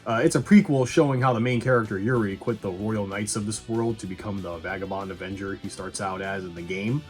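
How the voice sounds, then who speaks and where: A man's voice: lowish voice, brisk. Blunt, sweary man over background music. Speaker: video essay host and reviewer. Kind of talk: casual movie review commentary. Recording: music behind the voice.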